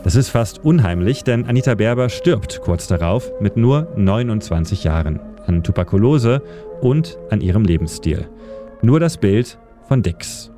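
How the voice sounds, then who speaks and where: A man's voice: lowish voice, sing-song, a little slow. A male radio editor telling a history segment in scripted narration, with dry, wry punchlines. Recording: music bed behind voice.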